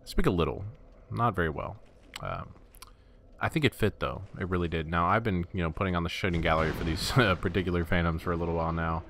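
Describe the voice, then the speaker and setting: Deep-voiced man doing wry game commentary. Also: quick pace, gravelly, fairly bright tone, slight background noise. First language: not Russian. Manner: wry, self-deprecating, stammers when flustered. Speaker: gaming commentator. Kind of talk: casual gameplay commentary.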